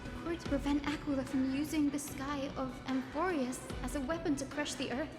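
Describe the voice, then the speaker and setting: A woman's voice: high-pitched, steady tone, moderate pace, lightly acted. A woman playing an earnest, polite young girl in scripted video game dialogue. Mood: puzzled. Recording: roomy game audio under loud music.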